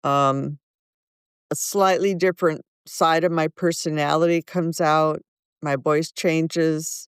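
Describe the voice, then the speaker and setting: A woman's voice: low-pitched, steady tone, clean tone, dry recording, clean studio sound. A woman in her seventies, a guest author, telling thoughtful stories in an interview. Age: 70s. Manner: slow, thoughtful, warm anecdotes. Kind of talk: reflective interview storytelling.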